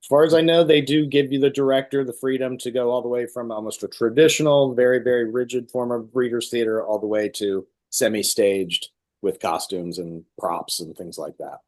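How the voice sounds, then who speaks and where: A man's voice: medium pitch, fairly even intonation, a little fast, a little mellow. A man speaks in an ordinary mid-range voice. He is an interview guest, talking casually and explaining in long, rambling sentences that often circle back on a phrase. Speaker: guest, amateur stage actor.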